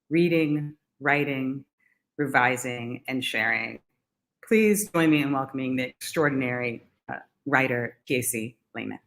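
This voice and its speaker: A woman hosting a literary and arts salon, introducing speakers in a measured way. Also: sits low. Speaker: event host.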